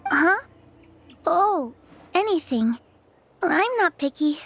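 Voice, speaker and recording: A woman's voice: extremely high pitch, very animated, slow pace, fairly bright tone, faint music behind, full character voice. A woman voicing a timid, easygoing young girl in a video game, speaking hesitantly. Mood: surprised, shy. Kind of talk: scripted game story dialogue.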